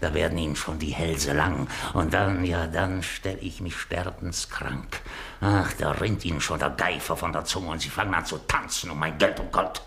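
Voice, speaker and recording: A man's voice: low voice, fairly even intonation, moderate pace, creaky, fairly bright tone. An actor playing a gloating, miserly Venetian schemer in a German radio drama, speaking theatrically and savouring his words. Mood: gleeful, scheming. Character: gloating, miserly rich Venetian schemer who feigns dying.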